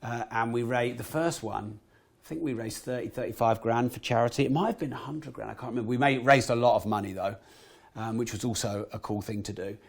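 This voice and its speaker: Croaky man telling stories. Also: medium pitch, fairly quick. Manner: animated, swears casually. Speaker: guest entrepreneur. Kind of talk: podcast interview storytelling.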